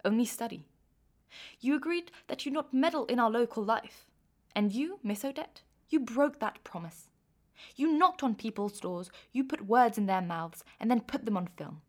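A stern Turkish official in a radio play, acted by a woman. The voice sits a little high. The official questions and lectures, accusatory and sarcastic. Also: animated, normal speed. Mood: stern, lecturing.

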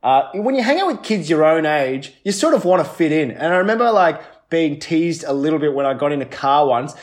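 An Australian man with a voice a little higher than most men's. He chats casually and tells personal stories in an animated way. His sentences often break off and start again as he thinks. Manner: animated, halting, often restarts mid-thought. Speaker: comedian. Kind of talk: casual chat, personal storytelling.

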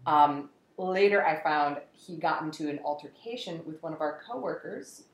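A woman with a fairly low voice talks casually in a roomy, echoing space. She tells her stories in an animated way and slips in jokes as she goes. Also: normal speed. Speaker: podcast guest in a group discussion. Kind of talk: casual chat. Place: echoey room, group conversation.

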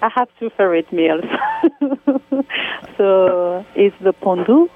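A woman from Congo with a French accent, speaking as an artist guest on a phone interview, soft-spoken and halting in English, laughing often. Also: mid-range voice, lively intonation. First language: Swahili, Lingala and French. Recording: tinny phone line with faint noise.

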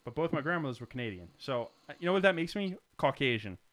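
A man's voice: medium pitch, very animated, quick pace, fairly bright tone. A man chatting casually as a podcast host, with wry, teasing and sometimes profane banter. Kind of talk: casual banter, beer tasting chat.

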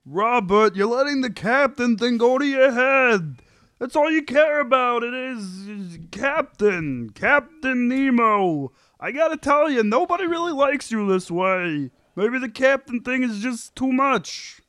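A man's voice: very high-pitched, expressive, measured pace, pushed and bright, no echo, clean studio sound, full character voice. A man playing a whiny, placating husband and teammate in a comic sitcom table read, wry and pleading.

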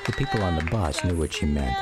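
A man narrating a scripted radio drama about his family in a measured, literary storytelling manner. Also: extremely low pitch, lively intonation, over a noisy crowd. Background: loud music under the voice.

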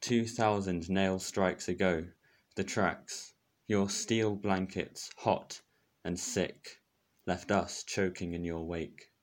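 A man's voice: sits low, fairly even intonation, slow. A young man introducing and reading his own poem. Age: young adult. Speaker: poet.

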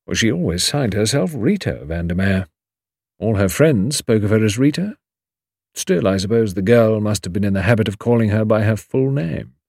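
A man voicing a shrewd, grave older English lawyer in an audiobook, measured, precise and formal. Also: lowish voice, expressive, gravelly, darkish tone, no room sound, clean studio sound. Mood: dry, probing. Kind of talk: audiobook character dialogue.

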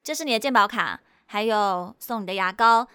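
An actress playing a brisk, polite female clinic receptionist in a radio drama, with a Taiwanese Mandarin accent. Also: mid-range voice, sharp tone, slight background noise, close to an everyday voice. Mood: polite, efficient.

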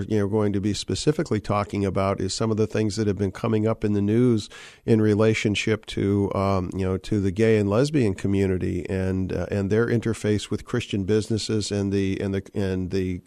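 A middle-aged man hosts a live radio talk show from a studio microphone, his voice a little hoarse from a cold. He sits low in pitch and keeps stopping and restarting with "uh" fillers. The sound is close and dry, with no room echo. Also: darkish tone.

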